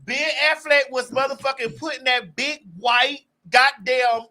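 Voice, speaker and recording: A young adult man hosting a raunchy casual chat, loud, brash and theatrical, sometimes breaking into song. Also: extremely high pitch, steady tone, a little slow, very noisy. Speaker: podcast host.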